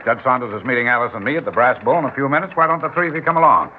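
An American actor playing a genial, avuncular middle-aged outgoing mayor in a classic radio drama. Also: male voice, mid-range voice, racing, slight background noise, natural voice. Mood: jovial. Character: genial, hearty outgoing small-town mayor, generous and avuncular. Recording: tinny old radio recording, faint music.

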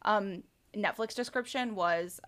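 A woman's voice: medium pitch, a little slow, a bit bright. A woman co-hosting a casual rewatch podcast, joking and teasing as she chats over a remote call. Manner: playful, jokey, teasing. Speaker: podcast co-host. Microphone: remote call mic.

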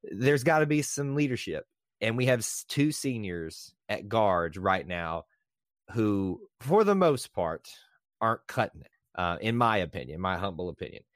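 Low-voiced man hosting a sports podcast. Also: big pitch swings, moderate pace, dry recording, studio quality. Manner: talkative, easygoing, fillers and repeated words. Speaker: podcast host. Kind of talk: casual sports podcast chat.

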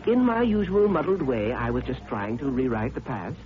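A man's voice: medium pitch, big pitch swings, moderate pace, close to an everyday voice. A man acting as a cynical, needling newspaper rewrite man in an old radio drama, wry and insinuating. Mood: needling, suspicious. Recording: muffled vintage radio recording.

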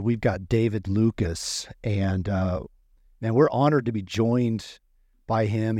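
A man's voice: mid-range voice, darkish tone, no echo, studio quality. A man co-hosting an interview podcast in a casual, conversational style. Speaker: podcast co-host.

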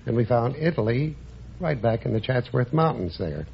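A man's voice: mid-range voice, expressive, moderate pace, gravelly, slight background noise. An older man from Philadelphia, a quiz show contestant giving plain, matter-of-fact answers. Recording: dull old radio broadcast.

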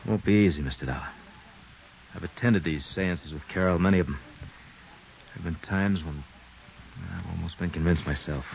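A man's voice: sits low, moderate pace, vocal fry, pauses often, a little mellow. An actor playing an intense, suspicious, protective man, earnest and insistent, in a 1950s radio drama. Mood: worried. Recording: dull, noisy 1950s radio recording.

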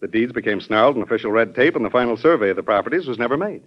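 Actor as a quick-talking, deferential cabinet official. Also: male voice, medium pitch, animated, natural voice. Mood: careful, explanatory. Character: deferential, measured male cabinet secretary, experienced official. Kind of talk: classic American radio drama acting. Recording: dull old radio recording.

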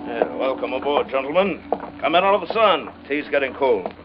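An actor playing an over-polite, florid gentleman yacht master and genteel villain in 1940s radio drama style. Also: medium pitch, big pitch swings, moderate pace, lightly acted. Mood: genial, formal. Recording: dull vintage radio recording, noisy background.

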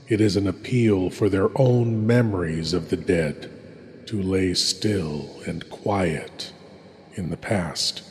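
Deep, slow male horror host in reverb. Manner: brooding, deliberate. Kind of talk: solemn scripted horror narration. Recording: long cavernous reverb.

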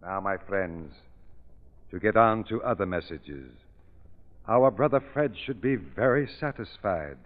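In long reverb on a thin vintage radio recording, a grave medium leads a seance. His delivery is slow, ceremonious and widely swooping in pitch. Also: animated, phone-quality sound. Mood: solemn. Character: solemn, grave spirit medium leading a seance. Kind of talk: old-time radio drama acting.

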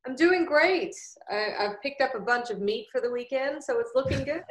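A woman's voice: high voice, expressive, moderate pace. A woman from Australia with an Australian accent, talking casually as a guest in an interview. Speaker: podcast guest, barbecue cook. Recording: roomy, echoing sound.

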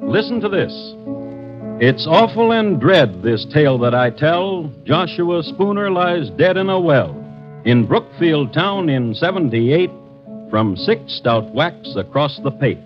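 A man narrating as host of a 1950s radio drama, wry, deliberate and theatrical. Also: fairly high pitch. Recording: muffled 1950s radio recording with music.

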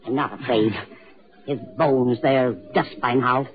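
A woman's voice: extremely low pitch, steady tone, a little slow, phone-quality sound. An actress playing a domineering, guilt-ridden old woman in a 1940s American radio horror drama, curt, commanding and dismissive. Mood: defiant denial. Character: sharp, domineering, guilty old woman, panicking aboard ship. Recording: noisy, band-limited 1940s radio recording.

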